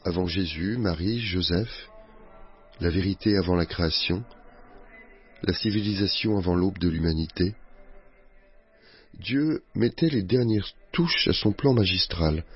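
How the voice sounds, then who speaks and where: Deep, husky man reciting a poem. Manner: measured recitation. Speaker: voice-over reader. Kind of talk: reading a translated poem. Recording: dull lo-fi radio sound.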